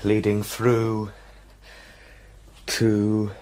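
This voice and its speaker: An English actor playing an earnest, nervy young Edwardian gentleman in a gothic radio drama, well-spoken and expressive. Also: lowish voice, drawn-out words, noisy background. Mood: self-composing, wary.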